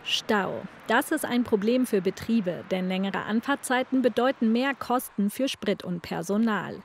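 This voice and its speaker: A woman reading a news item as a brisk, factual scripted voice-over. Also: mid-range voice, creaky, slight background noise. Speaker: reporter.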